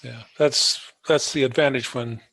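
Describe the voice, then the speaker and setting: A man's voice: medium pitch, steady tone, measured pace, bright, forceful tone, no room sound, poor audio. A man interviewing a guest in a conversational way, recapping answers and putting questions as a podcast host.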